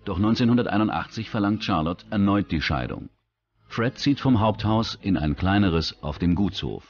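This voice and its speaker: A man's voice: fairly low pitch, lively intonation, moderate pace, lo-fi sound. A man reading a scripted true-crime documentary as its narrator.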